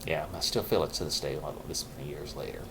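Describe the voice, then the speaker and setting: A middle-aged man, a vlogger, candidly telling his own story in a halting, reflective way, holding his naturally loud voice down low. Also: vocal fry, background noise. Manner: halting and reflective, with pauses and restarts; says he is keeping his loud voice low on purpose. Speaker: vlogger sharing his mental health story.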